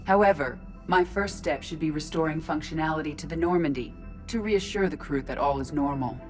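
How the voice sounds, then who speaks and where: A woman plays an artificial intelligence speaking through a female robot body in a video game. The voice is low and controlled, with precise, formal, emotionless phrasing. Faint music plays behind the words. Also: brisk, darkish tone, natural voice. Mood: calm, analytical.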